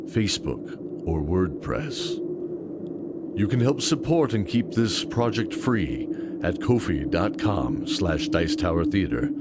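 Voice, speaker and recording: A man's voice: low-pitched, very noisy. A man narrating a scripted audio drama in a dramatic storytelling style, with music behind him. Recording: loud music bed behind.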